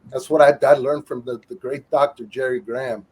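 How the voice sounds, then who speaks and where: A man's voice: mid-range voice, steady tone, fairly quick, darkish tone, breathy edge. A middle-aged man, a former wrestler, telling stories as an interview guest with wisecracks. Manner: wisecracking, storytelling, calls people chick. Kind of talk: casual interview chat.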